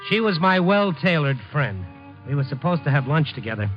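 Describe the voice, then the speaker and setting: A man's voice: a little high, sing-song, a little fast. An American man acting in a 1950s radio drama as a lovelorn, world-weary, slangy city reporter. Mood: wry, confiding. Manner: slangy, hard-boiled, wry. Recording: muffled 1950s radio recording, music underneath.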